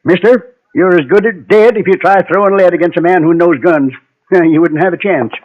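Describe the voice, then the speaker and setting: High-voiced folksy Old West gunsmith. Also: male voice, fairly even intonation, normal speed. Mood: easygoing. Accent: American. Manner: folksy, drawling frontier phrasing. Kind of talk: 1950s Western radio drama acting. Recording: dull vintage radio recording, hiss.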